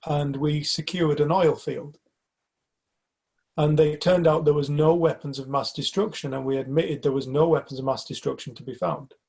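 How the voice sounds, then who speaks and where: A man's voice: a little high, fairly even intonation, moderate pace, vocal fry, darkish tone, breathy edge. A man from the UK in a casual group discussion, talking reflectively and hesitantly, often restarting his sentences. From United Kingdom. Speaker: community group member.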